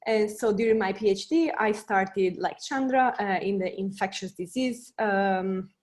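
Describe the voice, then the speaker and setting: A woman's voice: medium pitch, measured pace, a little mellow. A woman from Italy with an Italian accent, a scientist speaking on a career panel in an earnest, searching way with many fillers and restarts.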